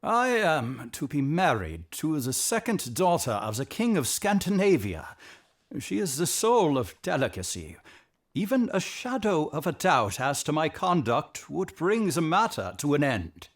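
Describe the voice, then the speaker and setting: A proud but anxious king in an audio drama. He speaks very slowly in a somewhat high, highly varied voice. The manner is pompous and formal, with halting hesitations. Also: very animated, heavily acted. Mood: worried.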